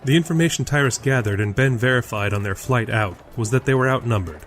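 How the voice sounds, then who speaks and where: A man's voice: mid-range voice, fast talker. A man reading scripted narration for a fantasy audio drama.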